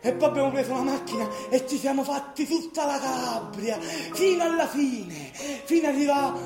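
An actor with a southern Italian accent playing a restless, nostalgic young worker in a colloquial, emotional radio theatre monologue. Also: male voice, extremely high pitch, normal speed, gravelly. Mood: excited reminiscing. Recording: roomy stage sound under loud music.

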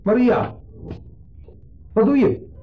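An actor playing a wry, unsettling ex-husband in a 1970s radio play, speaking with pointed deliberation. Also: male voice, extremely high pitch, slow pace, noisy background. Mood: startled, indignant. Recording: dull muffled old radio recording.

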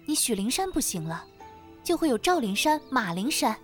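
A woman's voice: a little high, big pitch swings, moderate pace, faint music behind. An actress playing a poised, perceptive young lady with a formal, knowing manner in an audio drama. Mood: earnest.

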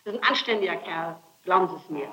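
A woman's voice: fairly low pitch, fast talker, heavy background noise. A woman being questioned as a suspect, arguing defensively and insistently and often cutting in. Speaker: suspect under interrogation. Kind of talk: police interrogation, argumentative.

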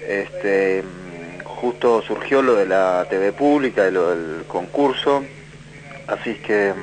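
A man's voice: fairly low pitch, slow, crowd noise, poor audio. A man from Chile answering as a guest in a radio interview, searching for his words. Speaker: documentary director, interview guest.

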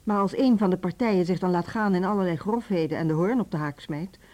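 An actress in a Dutch radio drama playing a stern, controlling middle-aged music school headmistress who speaks curtly and with authority. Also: female voice, fairly low pitch, quick pace, a little mellow, lightly acted. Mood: stern, annoyed. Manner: authoritative, curt.

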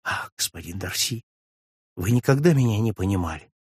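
A man voicing a distraught young society wife, reading her tearful lines in a hushed, plaintive way for an audiobook. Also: fairly low pitch, lively intonation, normal speed, breathy edge, no echo, clean studio sound, voice pushed down. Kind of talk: audiobook reading of a classic novel.

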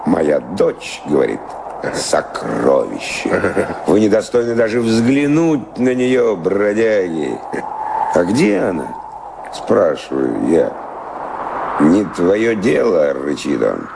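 An actor playing a wistful, roguish middle-aged former sailor, brooding and reminiscing in a gravelly voice in a radio drama. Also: male voice, low-pitched, big pitch swings, takes it slowly, background noise, heavily acted. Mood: bitterly amused. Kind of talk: theatrical radio drama acting. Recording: radio play with loud music behind.

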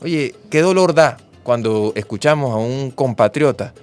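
A Venezuelan man speaks on a radio talk show at a medium pitch, with a Venezuelan accent. He talks in an earnest, patriotic, speechlike way. A faint music bed plays behind him. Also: lively intonation, unhurried. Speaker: radio host.

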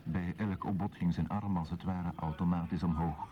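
A man's voice: low-pitched, fairly even intonation, normal speed, natural voice. A man narrating in a formal, scripted reporting style for a radio drama. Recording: dull lo-fi sound, crowd murmur.

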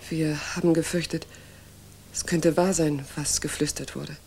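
An actress in a 1960s German radio drama playing an emotional young woman in love, breathy, trembling and earnest. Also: sits low, steady tone, measured pace, darkish tone, full character voice. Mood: tender, shy.

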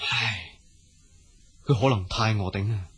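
A pensive young prince from a radio drama. His voice is very husky and rough at a middle pitch, and he speaks earnestly, sighing and musing as if to himself. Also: unhurried, fairly bright tone, exaggerated voice. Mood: distressed pity. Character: gentle compassionate young prince, pensive, self-sacrificing. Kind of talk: Cantonese radio drama acting.

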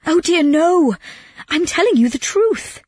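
A woman voicing a gullible, miserly man in a storybook, blubbering and yelling in exasperation. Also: extremely high pitch, lively intonation, unhurried, dry recording, voice pushed up. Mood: tearful, distressed. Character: gullible miserly man wailing over his stolen pig.

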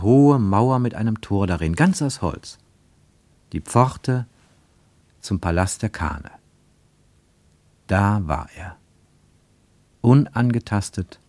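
Male radio-drama narrator, lowish pitch, measured and literary, dry studio read. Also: a little mellow, no echo. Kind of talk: scripted radio-play narration.

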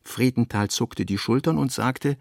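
A man with speech reads literary narration for a radio play in a measured, articulate manner. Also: mid-range voice, vocal fry, dry recording, studio quality.

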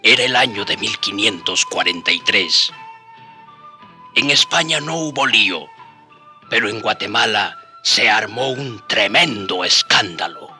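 A man narrating a historical radio drama with expressive, solemn, dramatic storytelling. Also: lowish voice, unhurried, faint music behind.

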